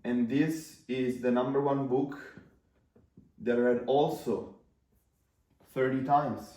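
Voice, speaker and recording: A man's voice: mid-range voice, fairly even intonation, takes it slowly, warm tone, reverberant, far from the mic, poor audio. A man in his twenties with an Italian accent, whose first language is Italian, talking casually to camera about marketing in a rambling way with fillers and restarts. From Italy. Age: 20s.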